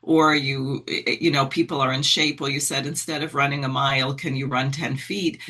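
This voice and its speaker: A woman's voice: a bass voice, fairly even intonation, moderate pace, pushed and bright. A middle-aged woman in an earnest conversation, thinking aloud with fillers as she makes her case. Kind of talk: conversational political talk.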